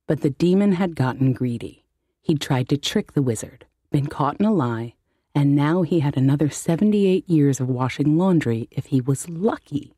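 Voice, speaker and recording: A woman's voice: sits low, expressive, moderate pace, mellow, slightly rough, no room sound, studio quality. A woman narrating a short story in a dramatic audiobook style.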